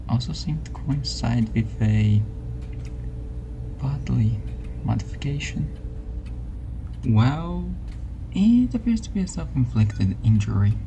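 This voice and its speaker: A woman playing a calm, quietly eerie scientist in a spooky ASMR roleplay, soft and breathy, close to a whisper, with a deliberate hushed delivery. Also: very deep voice, background noise, full character voice. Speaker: researcher giving a briefing.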